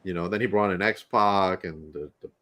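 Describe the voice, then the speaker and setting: A man's voice: low-pitched, moderate pace, bright, forceful tone, slight background noise. A man hosting a podcast interview in casual chat, sounding stuffed up and nasal from allergies, laughing often and repeating himself. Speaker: podcast host.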